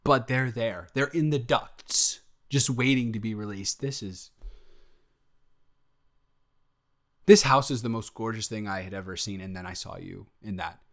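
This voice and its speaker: A man's voice: lowish voice, normal speed, few pauses, close to an everyday voice. A man playing an eager, smitten young boyfriend in an improvised intimate audio roleplay, stammering and correcting himself as he goes. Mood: awestruck, smitten. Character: eager, smitten, flustered young boyfriend, sweet and easily overwhelmed.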